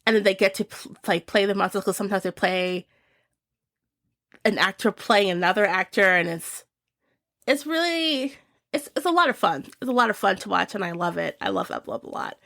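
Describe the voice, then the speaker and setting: Chatty young woman with a gravelly voice. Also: mid-range voice, expressive, moderate pace, fairly bright tone, no room sound, clean studio sound. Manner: chatty and gushing, many fillers. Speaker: reviewer of films and TV shows. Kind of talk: casual year-end review.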